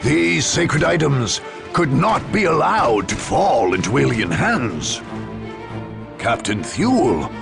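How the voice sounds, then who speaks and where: A grave war chronicler with a gravelly edge and a widely varied, dramatic delivery. He narrates a game cutscene solemnly and deliberately, under loud music. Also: mid-range voice, very animated, heavily acted.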